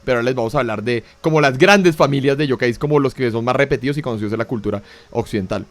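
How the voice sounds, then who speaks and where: A man's voice: mid-range voice, very fast, dry recording. A man from Colombia, co-hosting a talk show, chatty and casual with filler tags. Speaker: co-host. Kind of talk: casual panel chat.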